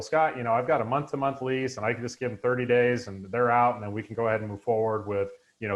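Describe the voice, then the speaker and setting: A man in his forties hosting a live webinar over a video call, upbeat and full of fillers. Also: medium pitch. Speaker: host. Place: home room indoors, video call.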